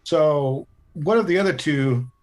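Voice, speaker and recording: A man's voice: on the high side, a little slow, darkish tone. A man playing a tabletop game, chatting casually and thinking his moves through aloud in a hesitant, rambling way.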